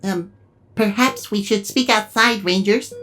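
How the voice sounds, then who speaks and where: A woman playing a gentle robot medic in an audio drama, formal and clinical. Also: medium pitch, measured pace, close to an everyday voice. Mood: grave, reluctant. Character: gentle robot assistant acting as medic, factual and apologetic.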